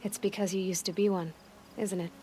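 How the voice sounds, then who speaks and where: An actress voicing a headstrong young outcast huntress in a video game, direct, questioning and determined. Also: female voice, mid-range voice, little pitch movement, a little fast, dark tone, a little hiss or hum, natural voice. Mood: curious, probing. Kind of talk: video game dialogue acting.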